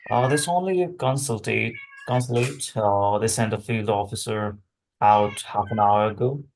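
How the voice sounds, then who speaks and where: A man's voice: lowish voice, moderate pace, slight background noise, lightly acted, poor audio. A man playing a flustered CIA agent in a film script table read, hesitant and stammering.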